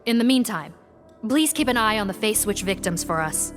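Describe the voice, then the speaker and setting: An actress doing a dutiful female officer in a video game, brisk and firm about her duty. Her voice sits at an ordinary pitch, with game music clearly audible behind her. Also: animated, lightly acted. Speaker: law enforcement officer.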